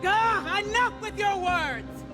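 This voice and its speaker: A woman's voice: extremely high pitch, animated, slow pace, full character voice. A woman playing a fierce, defiant warrior queen and mother in a fantasy game, declaiming formally with passion. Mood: contemptuous, angry. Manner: impassioned, declamatory, formal diction. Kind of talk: dramatic game voice acting. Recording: loud dramatic music behind the voice.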